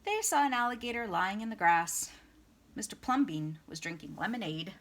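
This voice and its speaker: A woman reading a children's picture book aloud as a storytime reader, with expressive, dramatic emphasis. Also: mid-range voice, moderate pace, a little hiss or hum.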